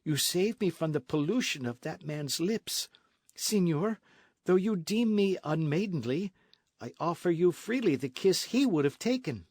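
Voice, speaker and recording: A man's voice: high-pitched, expressive, a little slow, a little mellow, no echo, voice pushed up. A man reading aloud and voicing a young noble lady who speaks in an ardent, breathless way. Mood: tender. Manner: breathless, impassioned.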